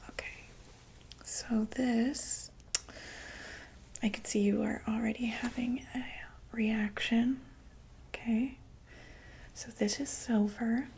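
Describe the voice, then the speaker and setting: Slow, whispering woman in ASMR doctor roleplay. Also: a little high, steady tone, warm tone, slight background noise. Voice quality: breathy, mostly unvoiced whisper. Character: calm, attentive doctor to monsters.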